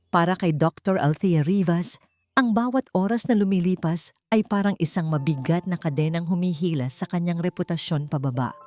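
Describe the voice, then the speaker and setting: A woman's voice: on the low side, dark tone. A woman with a Filipino accent reading a dramatic story as an audiobook narrator. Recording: music bed under the voice. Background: background music.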